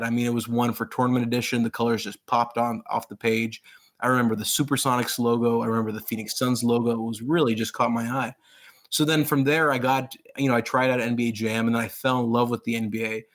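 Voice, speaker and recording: A man raised in Pakistan, in his thirties, chatting as a guest author in an interview. He rambles with plain enthusiasm, full of "you know" and false starts. His voice sits at an ordinary middle pitch for a man. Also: flat delivery, fast, fairly bright tone. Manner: rambling, enthusiastic, many fillers and restarts. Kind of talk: casual interview chat.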